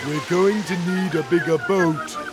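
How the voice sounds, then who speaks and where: A man's voice: high voice, steady tone, measured pace, over music. A man playing a big, good-natured, dim Gaul warrior in a cartoon game, blunt and jovial. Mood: plain concern. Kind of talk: video game cartoon acting.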